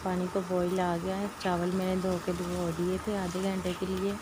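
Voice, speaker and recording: A woman walks through a home cooking recipe step by step, often checking with a quick "theek hai". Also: lowish voice, completely flat delivery. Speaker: cooking channel host. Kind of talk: casual cooking demonstration. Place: home kitchen, over a stove. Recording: loud kitchen noise behind, sizzling frying.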